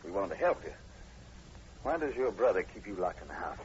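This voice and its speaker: A brisk police sergeant with a low voice and fast, clipped, commanding delivery. He sounds practical and suspicious in a muffled old radio drama. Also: very animated, a little hiss or hum. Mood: urgent, low.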